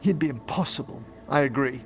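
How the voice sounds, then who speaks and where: An actor playing a gentle, bookish gentleman necromancer in a video game, speaking in a formal, measured, eloquent way. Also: mid-range voice, music in the background, close to an everyday voice. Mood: dryly fond. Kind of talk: video game dialogue acting.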